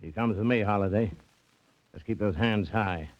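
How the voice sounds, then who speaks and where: An actor playing a menacing kidnapper with a formal, curt, commanding manner in 1940s radio style. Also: male voice, lowish voice, normal speed, natural voice. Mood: threatening. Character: menacing, curt male gunman kidnapper posing as official. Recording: dull vintage radio recording.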